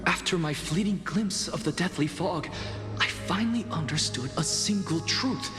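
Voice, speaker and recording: A man's voice: on the high side, lively intonation, moderate pace, lightly acted. A man playing a proud, defiant young scholar in a video game, speaking in a formal, theatrical way. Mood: defiant, fervent. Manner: theatrical, formal, pointed questioning. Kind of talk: dramatic game voice acting. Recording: echoey long reverb, music underneath.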